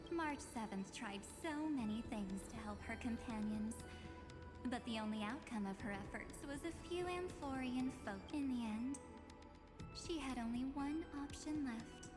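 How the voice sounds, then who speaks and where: An actress playing a cryptic, composed keeper of memories in a video game, telling her tale in a measured, theatrical way. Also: fairly high pitch, in a large hall, close to an everyday voice. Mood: grave, knowing. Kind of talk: video game voice acting, storytelling. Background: game music.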